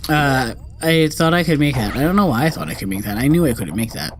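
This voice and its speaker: A man's voice: mid-range voice, expressive, fast, a little hiss or hum. A man giving casual, rambling, sweary let's play commentary on a video game.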